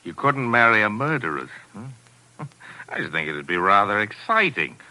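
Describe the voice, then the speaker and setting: A vain hammy actor in an old 1940s radio play, deep bright voice, swoops around, arch and grand. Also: male voice, big pitch swings, moderate pace, exaggerated voice, low-quality recording. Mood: sardonic. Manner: theatrical, arch, declamatory. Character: witty, vain, hammy middle-aged stage actor, mocking and grandiose. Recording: vintage 1940s radio broadcast, music.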